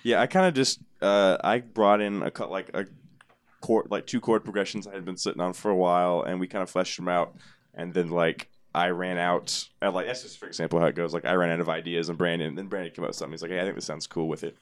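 Deep-voiced man, halting casual talk. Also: steady tone, dry recording. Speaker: band member being interviewed. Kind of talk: casual chat.

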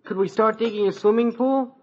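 A high-voiced teenage boy in an old radio comedy. He is eager, cheeky and a bit slow on the uptake, heard on a muffled vintage recording. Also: fairly even intonation. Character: eager, cheeky teenage son, slightly obtuse. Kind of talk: 1950s radio sitcom acting.

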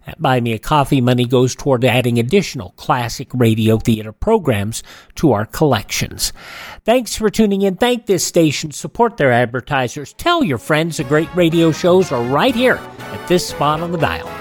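A man's voice: fairly high pitch, expressive, normal speed, soft background music. A man hosting a classic radio program, reading an outro close on the microphone.

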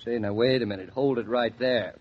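An actor playing a teasing, good-humored young husband in a 1940s radio drama, with playful, mock-grand banter. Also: male voice, medium pitch, brisk, close to an everyday voice. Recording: muffled 1940s radio recording.

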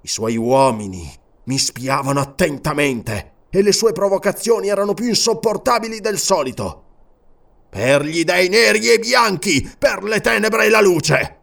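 A man's voice: fairly high pitch, very animated, moderate pace, creaky, fairly bright tone, pitched above the performer's own voice, exaggerated voice. An Italian man narrating an audiobook and voicing a proud, furious barbarian king in disguise who swears vehement, declamatory oaths.

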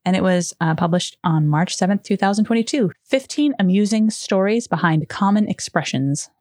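A woman podcast co-host with a mid-pitched voice, recorded close and dry. She tells stories casually and chattily, slipping into quoted remarks as she goes. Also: lively intonation, normal speed, a little mellow, dry recording. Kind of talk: casual conversational storytelling.

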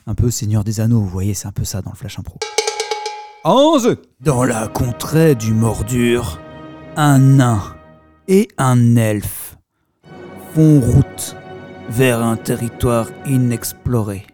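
A man's voice: medium pitch, expressive, takes it slowly, mellow, faint music behind. A man hosting a radio show from a studio, presenting and setting up a story as narrator.

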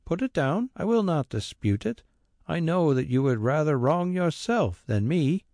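A man voicing a kindly squire over seventy, gentle and weary in manner. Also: on the high side, very animated, a little slow, no room sound, clean studio sound, pitched above the performer's own voice.

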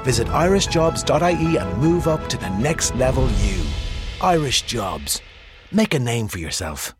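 A man delivers a scripted motivational advert. His medium-pitched voice moves with lively intonation. Loud music plays behind it. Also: moderate pace. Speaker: advert voice-over.

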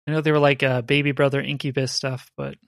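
Male podcast co-host, mid-pitched, chatty explainer, dry close sound. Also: little pitch movement, quick pace, vocal fry, no room sound. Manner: chatty, explaining with rhetorical "right?". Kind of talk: casual chat.

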